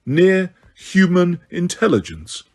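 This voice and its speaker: A stern, proud male centaur, as a male narrator reads him who speaks in a slow, measured, disdainful way. It is an audiobook voice. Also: on the high side, very animated. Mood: cold, proud.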